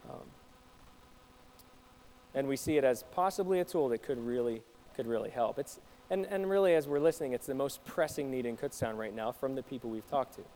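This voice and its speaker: A man's voice: mid-range voice, fairly quick, dark tone, a little hiss or hum, rough recording. A man preaching to his congregation in a conversational way, putting questions to the listeners. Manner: conversational, asks the audience questions. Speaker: pastor. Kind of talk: sermon to a congregation. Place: church.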